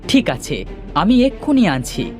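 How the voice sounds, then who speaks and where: A woman voicing a brave, earnest young male hero in a cartoon fairy tale, with expressive delivery. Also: on the low side, unhurried, faint music behind. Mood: determined.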